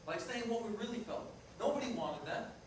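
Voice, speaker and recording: A man's voice: sits high, expressive, a little fast. A man acting a restless, blunt Italian-American ex-fiancé in a staged play reading. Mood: bitter, insistent. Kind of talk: staged reader's theater dialogue. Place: live staged reading in a reverberant room. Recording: echoey, noisy room, distant mic.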